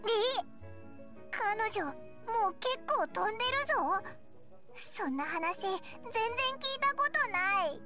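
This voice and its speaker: A woman voicing a tiny, cheeky, curious fairy companion with a squeaky childlike voice in an anime game. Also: very animated, takes it slowly, over loud music, heavily acted. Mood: curious, surprised. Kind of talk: Japanese anime game dialogue.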